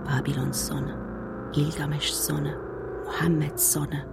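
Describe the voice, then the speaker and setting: A woman performing a solemn poetic voice of apocalypse in a radio drama, with slow, incantatory, fragmented phrases and some breathy creak. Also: deep voice, little pitch movement, over loud music, exaggerated voice. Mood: grave, incantatory. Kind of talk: dramatic radio poetry recitation.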